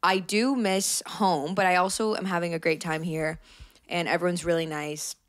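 A woman's voice: lowish voice, fairly even intonation, normal speed, no room sound, clean studio sound. A woman in her twenties from Canada, co-hosting a casual podcast, rambling and self-deprecating with frequent giggles. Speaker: podcast co-host. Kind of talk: casual chat. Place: small apartment room, indoors. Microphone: handheld dynamic mic, close.

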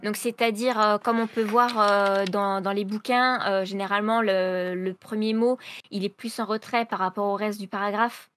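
A woman's voice: mid-range voice, fairly even intonation, normal speed, fairly bright tone, soft background music. A young woman writer in an interview, explaining her process over a video call with many hesitant fillers and restarts. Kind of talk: casual explanatory interview answers.

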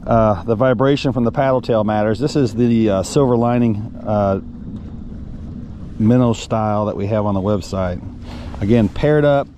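A man's voice: on the low side, moderate pace, dark tone, slight background noise. Folksy man chatting while fishing outdoors. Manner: folksy, addresses viewers as folks. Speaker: fishing guide and vlog host. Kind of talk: casual fishing commentary to viewers while fishing. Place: outdoors on a small fishing boat on an open, windy lake. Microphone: action camera worn on the body.

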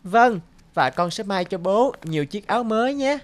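A woman's voice: medium pitch, lively intonation, normal speed, natural voice. Woman acting a sweet, clingy little girl. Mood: sweet, eager. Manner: pleading, childlike. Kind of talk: cartoon dialogue acting.

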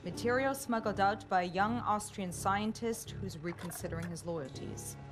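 A woman plays a determined wartime intelligence officer in a game cutscene. The voice is mid-pitched, formal and grave, with music clearly behind it. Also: normal speed, lightly acted. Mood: grave, measured.

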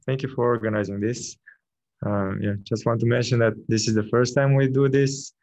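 A man from Romania with a Romanian accent, a product manager introducing himself calmly on a video call. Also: mid-range voice, moderate pace, no room sound. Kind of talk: online panel talk. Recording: dull dry video-call audio.

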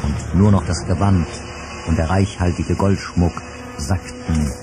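A man's voice: low voice, fairly even intonation, unhurried. A man narrating a children's adventure audio drama as scripted, dramatic storytelling. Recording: music bed under narration.

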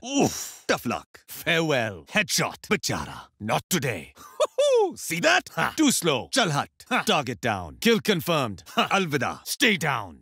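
An actor playing a confident, good-humored Indian soldier hero in a video game, with an Indian accent and a wry, expressive delivery. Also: male voice, fairly high pitch, dry recording, studio quality, full character voice. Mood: amused, cocky. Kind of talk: game voice lines, short tactical callouts and quips.

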